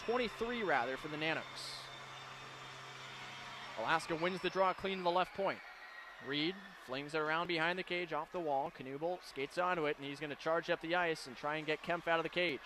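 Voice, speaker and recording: A man calling hockey play-by-play on radio, firing off clipped calls of each pass and shot and erupting into shouted goal calls. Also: sits high, a little hiss or hum. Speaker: play-by-play announcer.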